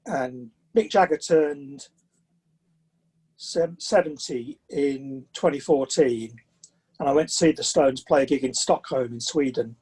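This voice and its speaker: A man's voice: mid-range voice, a little slow, darkish tone, slightly rough. A man of sixty from the south of England with a southern English accent, chatting and telling anecdotes as a podcast interview guest. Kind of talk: conversational interview storytelling.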